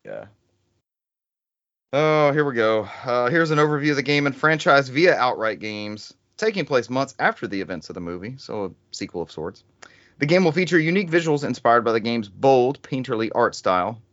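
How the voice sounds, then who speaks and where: Male host, mid-pitched voice, joking, casual gaming chat, slightly dull dry recording. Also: lively intonation, moderate pace, a bit bright, no echo. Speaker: podcast host.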